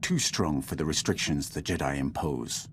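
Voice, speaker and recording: A man playing a proud, stern Sith lord in a video game, speaking in a formal, grandiose and deliberate manner. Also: very deep voice, fairly bright tone, a little hiss or hum. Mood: ominous. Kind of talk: video game dialogue acting.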